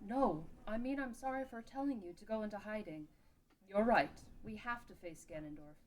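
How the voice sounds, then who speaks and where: A woman's voice: medium pitch, normal speed, darkish tone, lightly acted, poor audio. A woman playing a protective, careful warrior guardian in a fantasy table read. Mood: remorseful.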